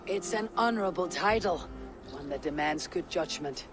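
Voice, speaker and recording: A performer playing a calm, measured Viking clan leader in a video game cutscene. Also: mid-range voice, over music, close to an everyday voice. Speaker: game protagonist.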